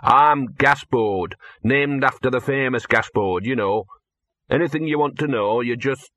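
A man's voice: medium pitch, a little slow, a bit bright, no room sound, natural voice. Man as a sneering, scruffy little talking mongrel. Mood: gruff, chatty. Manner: withering, sneering, muttering out of the corner of the mouth. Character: scruffy, cynical, sarcastic small male talking mongrel.

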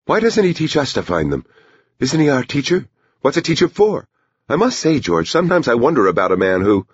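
A man's voice: mid-range voice, big pitch swings, brisk, breathy edge, dry recording, highs cut off, pitched above the performer's own voice. A man voicing an irritable, sardonic, exasperated small-town wife in an audiobook story. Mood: grouchy. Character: irritable, sharp-tongued grown woman, a small-town wife.

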